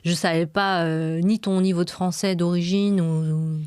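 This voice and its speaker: A woman's voice: lowish voice, steady tone, normal speed, a little mellow, clean tone, dry recording. A woman hosting a podcast, chatting informally and readily agreeing as she interviews her guest. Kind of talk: casual chat interview.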